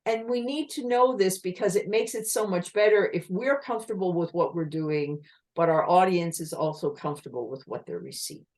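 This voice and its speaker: A woman giving an online communication talk with slides, explaining in a lively manner and asking her listeners rhetorical questions over a video call. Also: lowish voice, fairly quick. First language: English.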